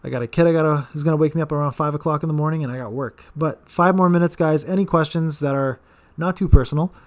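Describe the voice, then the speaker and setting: A man in his late thirties answering viewer questions on a casual live stream. His voice sits a little high for a man, and he rambles, stumbling over repeated words and filling gaps with "um" and "uh.". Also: fairly even intonation, fast talker. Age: 30s. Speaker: gaming channel host.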